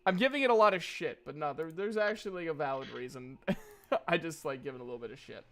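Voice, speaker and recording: A woman's voice: deep voice, quick pace. A woman running a tabletop game, narrating casually with slangy, joking asides. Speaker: game master.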